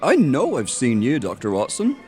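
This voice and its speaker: A man's voice: medium pitch, huge pitch swings, normal speed, a little mellow, faint music behind. A man playing a jovial, mischievous prankster in audio theatre, with a breezy, conspiratorial manner. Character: jovial, mischievous male prankster, a doctor's acquaintance.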